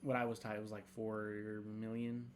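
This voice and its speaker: A man's voice: on the low side, completely flat delivery, a little hiss or hum. A young adult man co-hosting a podcast, chatting loosely with fillers and restarts, sometimes reading a script aloud. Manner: rambling, halting, many fillers. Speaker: podcast co-host.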